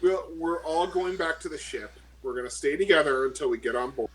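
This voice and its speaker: A man's voice: a little high, a little fast, fairly bright tone, a little hiss or hum, rough recording. A man bantering casually as a tabletop role-player, sardonic and sweary. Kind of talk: casual in-character game banter.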